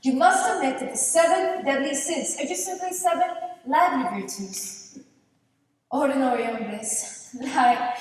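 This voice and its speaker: A proud, knowing witch, played by a woman declaims in a high voice, mocking and theatrical. It is a stage play, caught from far off with heavy hall echo. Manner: theatrical, declamatory, with Spanish phrases. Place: large indoor theater stage, distant camera.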